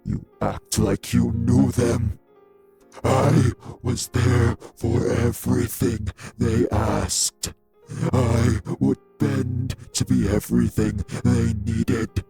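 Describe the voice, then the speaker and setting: A man playing a refined, bitter, possessive vampire sire in an audio drama, with formal, deliberate, menacing diction. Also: mid-range voice, little pitch movement, gravelly, warm tone, husky, soft background music, exaggerated voice. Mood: wounded, impassioned. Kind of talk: dramatic audio roleplay acting.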